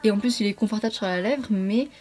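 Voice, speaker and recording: A woman's voice: mid-range voice, quick pace, a little mellow, faint music behind. A young woman chatting casually to followers like a vlogger, in an informal, slangy, rambling way with self-corrections. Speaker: beauty and lifestyle vlogger. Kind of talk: casual chat to followers while doing makeup.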